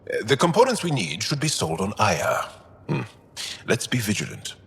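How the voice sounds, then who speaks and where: A man playing a gruff, measured alien crewmate in a video game, with a raspy, halting, understated delivery. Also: fairly low pitch, wildly swooping pitch, a bit bright, exaggerated voice. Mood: thoughtful, dry. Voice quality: very raspy, gravelly.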